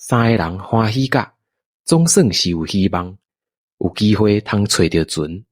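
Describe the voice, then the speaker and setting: A man's voice: fairly low pitch, expressive, a little slow, no echo, clean studio sound. A man with a Taiwanese accent narrating a scripted radio drama as its storyteller.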